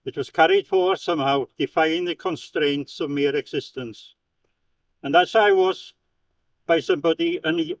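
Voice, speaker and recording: A man from Wales reading a prepared speech aloud in a slow, declamatory way, with stumbles and restarts. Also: a little high, far from the mic, low-quality recording. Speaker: speaker at a commemoration.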